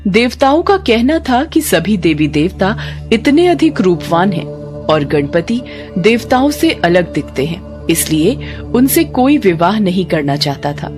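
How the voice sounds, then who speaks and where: A woman storyteller narrates a devotional tale with a lively, varied pitch. Her delivery is measured and expressive, with clear music playing behind her.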